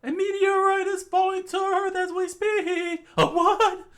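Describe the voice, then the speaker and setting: A man voicing a desperate young male hero who shouts orders in a strained, high voice. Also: flat delivery, unhurried. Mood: urgent shouting.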